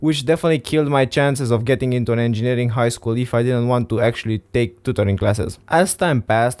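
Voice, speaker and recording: A man's voice: medium pitch, darkish tone. A teenage boy from Romania, speaking English as a second language, earnestly giving career advice to viewers in a casual vlog. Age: teens. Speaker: vlogger. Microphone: studio XLR mic.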